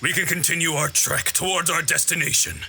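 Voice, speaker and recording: A man's voice: on the high side, a little fast, creaky, pushed and bright, a little hiss or hum, exaggerated voice. A man playing a stern, watchful lord with formal, commanding speech in a fantasy audio drama. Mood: composed. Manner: formal, commanding, archaic phrasing. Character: stern, watchful male lord guiding his companion.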